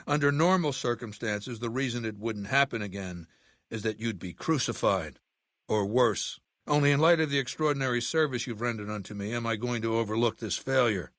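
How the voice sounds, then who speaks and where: A man's voice: mid-range voice, brisk, fairly bright tone, no echo, studio quality, close to an everyday voice. An older man playing an imperious, ruthless warlord in a video game, speaking with cold, commanding, formal diction. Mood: stern, reproving. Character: imperious, ruthless ageing male warlord commanding a slaver legion. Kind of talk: video game character acting.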